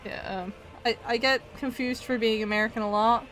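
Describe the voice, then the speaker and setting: A chatty woman in her twenties whose accent mixes Scottish and Canadian, talking casually while she draws on a live stream. She laughs often and answers her viewers out loud. Faint music plays behind her. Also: fairly high pitch, fairly even intonation, fairly quick, poor audio. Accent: mixed Scottish and Canadian. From Scotland. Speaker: art streamer.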